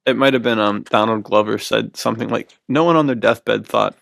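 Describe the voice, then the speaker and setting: A man with a creaky, fry-laden voice hosts a casual podcast. He is effusive and informal, full of slang, and recorded close and dry. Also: on the low side, fairly even intonation, fairly quick, a bit bright, dry recording. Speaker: podcast host.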